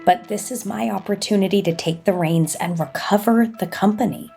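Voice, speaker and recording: A woman's voice: medium pitch, normal speed, vocal fry, over music, lightly acted. An actress playing an ambitious, icy businesswoman in an audio soap opera. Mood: resentful, determined. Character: ambitious, icy female executive with attitude.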